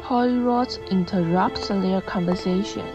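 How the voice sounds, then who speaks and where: A woman's voice: medium pitch, a little slow, rough recording. A young woman reading scripted narration for a student English radio drama. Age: young adult. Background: background music.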